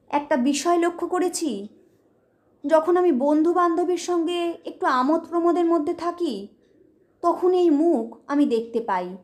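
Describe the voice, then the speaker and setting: High-pitched woman narrating a story. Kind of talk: dramatic audio story narration.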